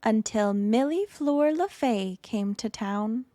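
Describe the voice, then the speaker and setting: Slow, high-voiced woman reading a storybook. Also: no echo. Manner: expressive, deliberate storytelling. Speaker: storytime reader. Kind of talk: read-aloud children's picture book narration.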